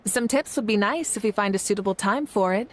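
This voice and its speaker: A woman's voice: mid-range voice, a little fast, a little hiss or hum, close to an everyday voice. An actress playing a composed, friendly young warrior woman with an earnest, curious manner in a video game. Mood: friendly, sincere. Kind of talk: video game dialogue acting.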